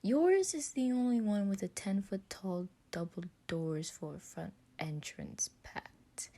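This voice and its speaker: A woman's voice: mid-range voice, slow, dark tone, pitched above the performer's own voice. A woman voicing a small, playful young man in a story, talking in a casual, marveling way. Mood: awed.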